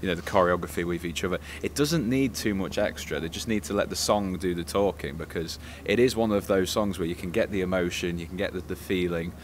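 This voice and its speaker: Low-voiced man, measured and diplomatic. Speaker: reviewer, co-presenter. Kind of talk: casual spoken review. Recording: faint background ambience noise.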